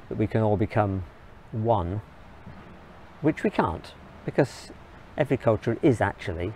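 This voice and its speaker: A man from England, giving an earnest, measured opinion monologue in short, halting phrases. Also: fairly low pitch, a little mellow, a little hiss or hum, poor audio. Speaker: video blogger.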